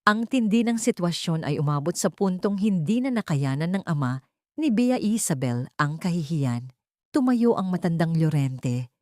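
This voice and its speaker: A woman's voice: on the low side, lively intonation, moderate pace, warm tone, dry recording, studio quality. A woman narrating a scripted dramatic story as a storyteller.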